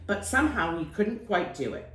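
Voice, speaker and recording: A woman reading a children's picture book aloud in an expressive storytelling style. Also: mid-range voice, normal speed, roomy sound, rough recording.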